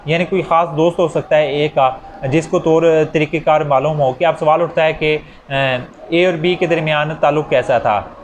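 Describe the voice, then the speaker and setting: Male law lecturer, Pakistani accent, slightly high pitch, explanatory with English legal terms, faintly noisy recording. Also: fairly even intonation. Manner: switches into English legal terms, reading statutes slowly. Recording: clear background noise.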